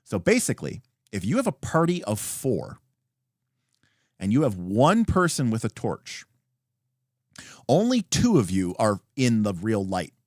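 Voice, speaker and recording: A man with a fairly low voice reads rules text aloud as a host. His intonation swings widely, with emphatic, deliberate phrasing and short explanatory asides. The sound is dry, with very little room in it. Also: wildly swooping pitch, darkish tone, no room sound. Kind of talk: reading a game rulebook aloud with brief asides.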